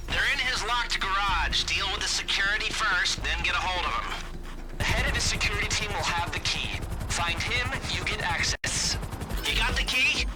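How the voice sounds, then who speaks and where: An actor playing a nervy, wisecracking heist planner in a video game, rambling through briefings with hesitant, wry asides. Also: male voice, sits high, low-quality recording. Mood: wry, instructive. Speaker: heist planner giving mission instructions. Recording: loud music behind the voice. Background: loud music.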